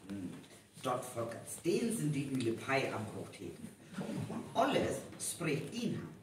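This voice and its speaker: Man doing a slow village guy in a radio play, pitch goes up n down, far from mic, echoey. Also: wildly swooping pitch. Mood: ponderous. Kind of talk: live stage radio play acting in North Frisian. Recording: echoey hall, distant, noisy live recording.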